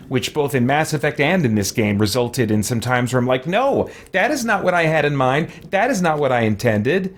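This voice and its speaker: A man's voice: mid-range voice, a little hiss or hum. A man giving casual gaming commentary as a let's play host, rambling and thinking aloud over menus.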